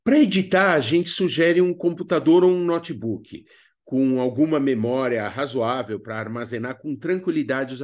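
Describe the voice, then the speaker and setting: A man with a Brazilian accent presenting an instructional lesson in a didactic way, as a workshop teacher. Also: fairly high pitch. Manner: didactic, asks rhetorical questions and answers them. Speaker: radio theatre workshop instructor.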